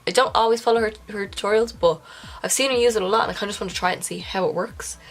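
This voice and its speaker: A woman's voice: mid-range voice, very fast. Chatty congested young woman. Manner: chatty, self-correcting, breaks into soft whispered asides. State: congested, nasal. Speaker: makeup vlogger. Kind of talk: casual makeup tutorial talk. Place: indoors at home, filming a close-up makeup tutorial.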